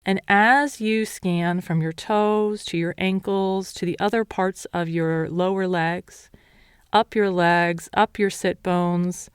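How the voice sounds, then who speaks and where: A woman meditation teacher leading a guided meditation in a calm, measured, instructional way. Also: lowish voice, smooth, no echo, clean studio sound.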